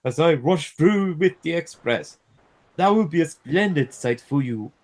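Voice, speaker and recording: Man putting on a pompous, booming storybook steam engine. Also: animated, a little slow. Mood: boastful. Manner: boastful, loudly complaining. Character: proud, pompous, grumbling big male steam engine.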